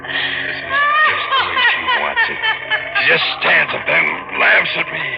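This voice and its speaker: An actor playing an anguished, doomed fisherman who tells his story in brooding, dramatic 1940s radio style, with a gravelly voice. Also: sits high, wildly swooping pitch, unhurried, crowd noise, exaggerated voice. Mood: anguished, bitter. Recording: muffled vintage radio, loud music behind.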